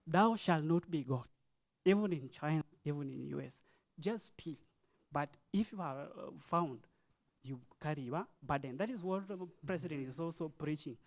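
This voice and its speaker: A man with a Kenyan accent giving an impromptu speech at a youth forum, halting and earnest, urging listeners with rhetorical questions. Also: fairly high pitch. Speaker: forum participant.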